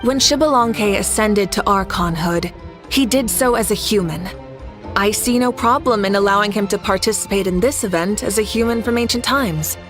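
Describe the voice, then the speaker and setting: A woman's voice: mid-range voice, moderate pace, music in the background, close to an everyday voice. A woman voicing a composed, confident young female ruler in a video game, assured and good-humored.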